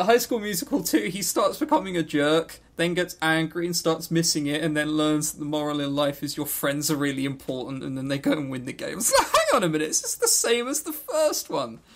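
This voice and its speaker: A podcast co-host chatting casually in a jokey, sarcastic and rambling way, prone to going off on tangents. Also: mid-range voice. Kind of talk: casual, tangent-prone banter about visual novels.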